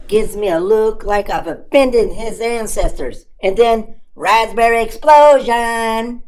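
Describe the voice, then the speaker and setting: Slow, high-pitched cheeky puppet comedian, voiced by a woman. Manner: deadpan punchlines, dramatic exaggeration. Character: Gus, cheeky young male puppet comedian, self-amused, exasperated. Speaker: stand-up comedian character. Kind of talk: stand-up comedy storytelling.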